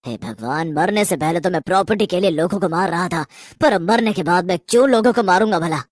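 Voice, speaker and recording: Reluctant male ghost, played by a woman: lowish, nervy, grumbling cartoon horror part, dry sound. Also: expressive, a little fast, no echo. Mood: resigned, grumbling. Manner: nervous, protesting, then grudgingly obedient. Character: guilty, greedy man turned reluctant, grumbling male ghost. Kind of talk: Hindi horror cartoon story acting.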